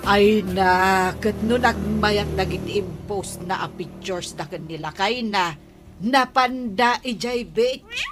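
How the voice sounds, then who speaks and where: Woman acting a slow, dramatic Ilocano gossip. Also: mid-range voice, music in the background, close to an everyday voice. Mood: gossipy. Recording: clear background noise.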